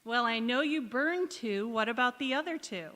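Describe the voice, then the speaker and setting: A woman's voice: fairly high pitch, expressive, normal speed, a bit bright. A woman playing a supportive, wry housewife friend in old-time radio sitcom style, coaxing and bossy. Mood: prodding. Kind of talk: golden-age radio sitcom acting on stage. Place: large indoor hall, live stage performance. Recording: echoey hall, community theatre stage.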